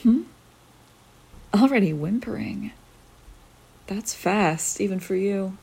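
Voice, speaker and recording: A dominant, teasing young woman, voiced by a woman, murmurs in a breathy whisper for an ASMR roleplay. Her tone is dark and mellow, with a gravelly fry at the edges. She takes her time, issuing each command slowly. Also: mid-range voice, heavily acted, rough recording.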